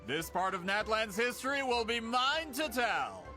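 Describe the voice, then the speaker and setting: Smug, scheming tycoon. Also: male voice, very high voice, very animated, unhurried, bright, forceful tone, faint music behind, exaggerated voice. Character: greedy scheming male printing tycoon. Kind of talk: video game voice acting.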